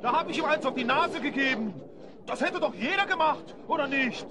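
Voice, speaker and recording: A man's voice: very high-pitched, animated, brisk, crowd noise. A man playing an indignant rogue henchman in an audio drama, with a blustering, theatrical manner.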